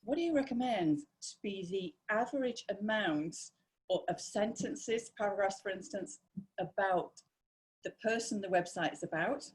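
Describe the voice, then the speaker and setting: A woman's voice: medium pitch, animated, moderate pace, low-quality recording. A woman taking part in a live Q&A call, asking her question hesitantly and searching for words.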